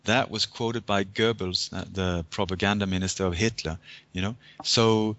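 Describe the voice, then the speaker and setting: Animated man with a low voice. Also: normal speed. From Sweden. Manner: animated storyteller, acts out phone calls in high voices. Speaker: guest. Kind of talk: casual interview talk. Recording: dull lo-fi audio.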